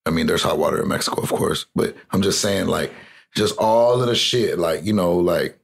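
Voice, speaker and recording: A man's voice: deep voice, normal speed, vocal fry, slightly rough. A young man from South Texas, a guest in a casual interview, rambling with lots of "like" fillers and swearing.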